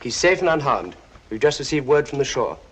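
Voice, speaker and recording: Quick, husky-voiced young king. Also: medium pitch, big pitch swings, low-quality recording. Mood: reassuring. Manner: polite, formal. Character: gracious young king posing as a steward. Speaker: supporting film actor. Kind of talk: 1940s film acting.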